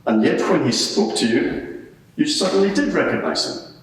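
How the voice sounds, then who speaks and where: A man acts out a sceptical advocate in a courtroom drama. He presses with pointed, leading questions in a lively, swooping delivery. The sound is distant and heavily reverberant, as in a big hall. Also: fairly high pitch, normal speed, a little hiss or hum, natural voice. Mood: insistent. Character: probing, sceptical male advocate cross-examining a witness. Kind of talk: dramatised courtroom cross-examination. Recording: echoey hall, distant microphone.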